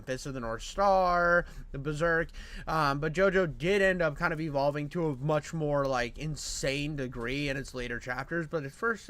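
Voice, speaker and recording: A man's voice: medium pitch, a bit bright, clear, resonant tone. A man chatting off the cuff to viewers in slangy, sweary, laid-back bro talk. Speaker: commentator. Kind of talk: unscripted casual chat to viewers.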